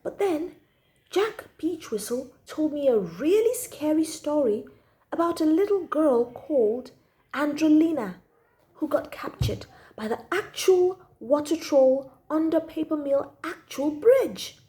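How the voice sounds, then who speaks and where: A woman's voice: high-pitched, lively intonation, measured pace, warm tone, poor audio. A woman reading a children's story aloud expressively, drawing out key words for emphasis.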